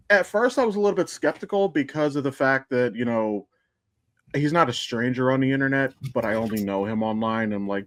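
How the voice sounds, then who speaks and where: A middle-aged man chats casually as a podcast host. His voice sits at a medium pitch and is recorded dry, with little room sound. He rambles through asides and swears freely. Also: a bit bright, no echo. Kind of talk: casual chat.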